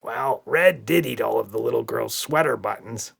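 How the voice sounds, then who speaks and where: Man doing a creaky, sarcastic monster. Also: medium pitch, normal speed, fairly bright tone, heavily acted. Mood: glum. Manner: snickering, mumbling, dry. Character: skeptical, sarcastic, flabby monster sidekick. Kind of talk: children's audiobook character.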